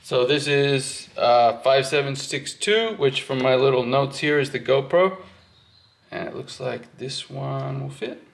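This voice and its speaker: A man with a noticeably gravelly, fry-edged voice at an average pitch talks through a hands-on job. He sounds informal and unscripted, thinking aloud as he explains each step. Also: animated, a little slow. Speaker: host of a hands-on wiring walkthrough. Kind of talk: casual workshop explanation while working.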